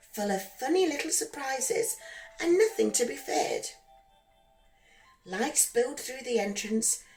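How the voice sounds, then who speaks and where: A woman narrating a rhyming children's bedtime story in a gentle, expressive, singsong way, as a storyteller for young listeners. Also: on the high side, low-quality recording. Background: background music.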